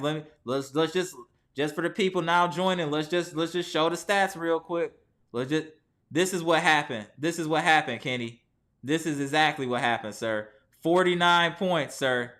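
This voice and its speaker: Animated man venting about his team. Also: fairly high pitch. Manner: animated, venting, talks to his chat by name. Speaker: sports channel host. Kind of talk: live post-game fan chat.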